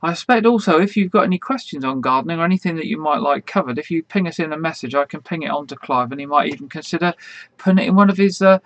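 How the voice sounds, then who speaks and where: A man hosting an online quiz in a casual, rambling way, full of fillers, with a slightly croaky voice. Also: on the high side, quick pace, dry recording, lo-fi sound. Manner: chatty, rambling, many fillers and restarts. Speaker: quiz host.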